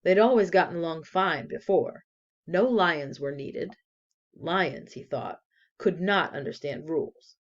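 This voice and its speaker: Casting: female story reader, lowish register, lively expressive delivery, deliberate emphasis, slightly lo-fi recording. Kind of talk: expressive read-aloud storytelling.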